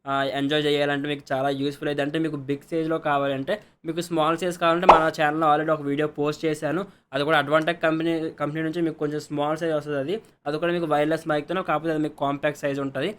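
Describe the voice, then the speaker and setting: A man's voice: fairly high pitch, level tone, rapid-fire, a bit bright, smooth. A man giving a casual, step-by-step product review, repeating set phrases and mixing in English words. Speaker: product reviewer, channel host. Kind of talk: casual unboxing and review narration.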